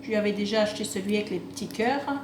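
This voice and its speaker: A woman's voice: medium pitch, very fast. Lady doing a craft haul vlog, kinda rambly and unsure, echoey room, noisy phone recording. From France, half Italian. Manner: hesitant, rambling amateur presenting. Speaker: craft vlogger showing a shopping haul. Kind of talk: casual unscripted chat to camera. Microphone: phone on a table. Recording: roomy echo, clearly noisy home recording.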